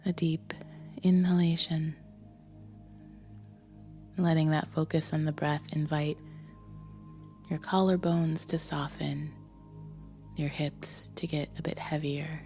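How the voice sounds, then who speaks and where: A woman leading a guided meditation with a soft, breathy voice, gently instructing in short phrases with pauses between them. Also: sits low, flat delivery. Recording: music behind the voice. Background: music.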